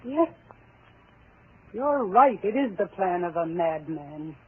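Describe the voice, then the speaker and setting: An actress playing a cold, nervy, scheming wife with a biting tongue in a 1940s radio thriller. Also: female voice, lowish voice, expressive, slow pace, husky, full character voice. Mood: cool, sardonic. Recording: tinny, muffled 1940s radio recording.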